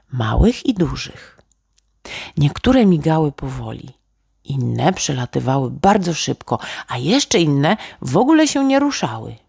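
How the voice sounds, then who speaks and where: A woman's voice: a bass voice, gravelly, warm tone, slightly rough, no room sound, clean studio sound. A woman reading a children's bedtime story as a scripted narrator.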